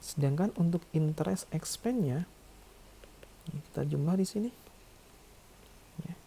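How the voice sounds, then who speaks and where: Tutorial lecturer, very mellow and dark, gravelly edge, explains step by step. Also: mid-range voice, expressive, normal speed, slight background noise, low-quality recording. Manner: didactic, frequent check-in tags. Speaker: accounting lecturer. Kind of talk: tutorial narration, working through figures aloud.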